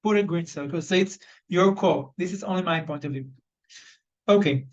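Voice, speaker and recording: A man with an Israeli accent and a fairly high voice runs an online job search training. He speaks loosely, full of ums and self-corrections. The video call audio is slightly dull. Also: moderate pace. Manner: loose, conversational, lots of fillers and restarts. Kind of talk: live online training session.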